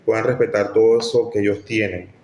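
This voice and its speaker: Low, even man, echoey recording. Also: brisk, dull audio. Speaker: municipal tourism official interviewee. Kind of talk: spontaneous interview talk. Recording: echoey room with faint noise.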